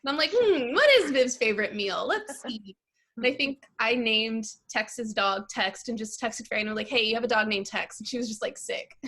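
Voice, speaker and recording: Woman, fairly high pitch, casual, anecdotal, breezy, informal. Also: animated, moderate pace, fairly bright tone.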